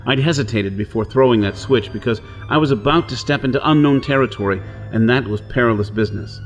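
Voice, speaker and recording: A male actor plays a hard-pressed outlaw in a pulp science fiction radio drama. He is wary and brusque, with a brooding, dramatic delivery in a medium-pitched voice. Faint music runs underneath. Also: a little fast, natural voice. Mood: brooding, reflective. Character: brusque, wary hunted outlaw man on Mars. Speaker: narrator and lead actor. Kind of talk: pulp radio drama acting and first-person narration.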